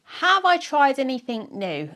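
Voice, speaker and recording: Middle-aged woman, croaky from a sore throat, chatty, giggly vlogger. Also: sits high, a bit bright. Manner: chatty, giggly, self-mocking, rambling with fillers. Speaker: weight-loss vlogger. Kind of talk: casual chat to camera.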